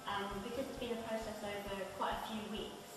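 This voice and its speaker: A woman's voice: mid-range voice, flat delivery, brisk. A woman actor chatting casually in a group testimonial about shared work. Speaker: actor leading group discussion. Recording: echoey hall, distant mic, loud music.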